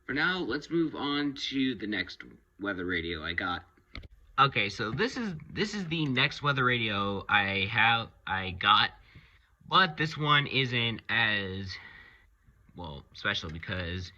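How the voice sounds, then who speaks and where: A man giving a casual, unscripted review of his hobby collection, talking haltingly and pausing mid-phrase. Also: lowish voice, pushed and bright, dull audio. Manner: halting, rambling delivery with pauses mid-phrase.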